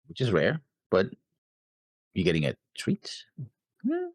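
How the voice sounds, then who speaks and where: Deep husky man chatting casually. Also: wildly swooping pitch, slow pace, dry recording, studio quality. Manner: rambling, thinking aloud. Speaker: co-host of an anime reaction show. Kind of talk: casual chat.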